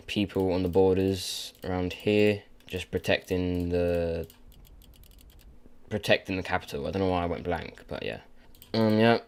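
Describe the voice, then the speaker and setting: A man with a sore throat, casually narrating a map video with rambling, filler-heavy commentary. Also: low voice. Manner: rambling, filler-heavy, loses his train of thought.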